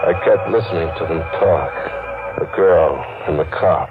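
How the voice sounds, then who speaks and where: A man's voice: sits low, normal speed, lightly acted. An actor playing a desperate, frantic man who narrates his own doom in a 1940s radio drama. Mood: haunted. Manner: frantic and pleading, then hushed inner monologue. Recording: dull old radio recording with music.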